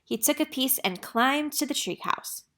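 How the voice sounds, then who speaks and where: A woman narrating a children's picture book in an expressive storytelling manner. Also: sits high, normal speed. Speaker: read-aloud narrator.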